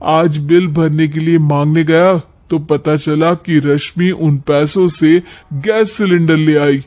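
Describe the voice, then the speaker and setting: A man acts a young married son in a cartoon. He complains earnestly, in a fairly high voice at a slow, measured pace. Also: fairly even intonation, darkish tone. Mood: complaining.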